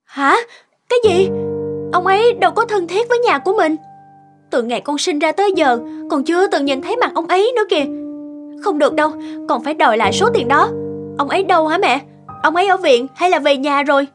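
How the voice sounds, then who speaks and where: A woman's voice: very high-pitched, animated, brisk, music in the background. A woman playing an anxious, insistent middle-aged daughter and mother in a cartoon, fretful and questioning. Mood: indignant. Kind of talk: cartoon dialogue acting.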